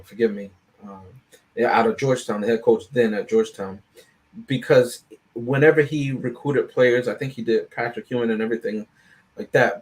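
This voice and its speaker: A man's voice: on the low side, a little mellow, low-quality recording. A man speaking as a panelist on a film review show, in casual, analytical discussion, often checking in with "right?".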